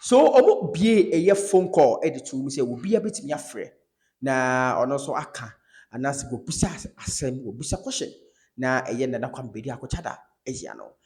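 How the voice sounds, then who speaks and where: Animated Ghanaian man giving commentary. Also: fairly high pitch. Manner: animated storytelling, code-switching. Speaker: online video commentator. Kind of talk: informal commentary retelling an interview.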